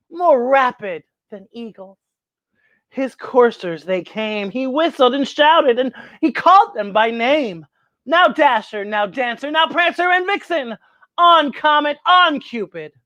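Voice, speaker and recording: Female kids' story host: swooping expressive read-aloud, slow deliberate delivery, theatrical emphasis, close dry recording. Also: on the high side, no room sound. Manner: theatrical, drawn-out phrasing with emphatic pauses. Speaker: children's story show host. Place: indoors at home.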